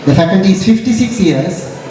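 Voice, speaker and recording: A man with a Sri Lankan accent giving an after-dinner speech as a dean, halting with many fillers. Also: high-pitched, lively intonation, mellow. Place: large banquet hall. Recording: echoey hall, mic far off, crowd noise.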